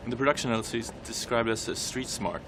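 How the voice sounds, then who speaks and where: A male interviewer asking press junket questions fast, stumbling and repeating words. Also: medium pitch, noisy background. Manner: quick, stumbling over words.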